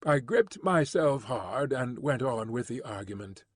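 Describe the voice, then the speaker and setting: A man narrating an audiobook with measured, expressive storytelling. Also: mid-range voice, slightly rough, no room sound, studio quality. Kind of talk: scripted narration.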